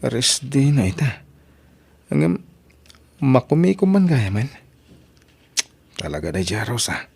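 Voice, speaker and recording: A man's voice: medium pitch, huge pitch swings, slow pace, gravelly, pauses often, a little mellow, husky, exaggerated voice. A man acting as a guilt-ridden, generous young man in an Ilocano radio drama, solicitous and insistent, with an Ilocano accent. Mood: musing.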